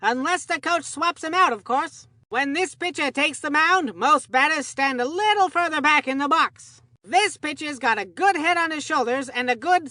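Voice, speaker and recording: Bright, high-pitched cartoon baseball commentator. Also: female voice, no room sound. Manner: gushing, folksy, old-time slang. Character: excitable, folksy kid baseball commentator in a cartoon game. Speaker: sports commentator. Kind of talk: scripted game sports commentary.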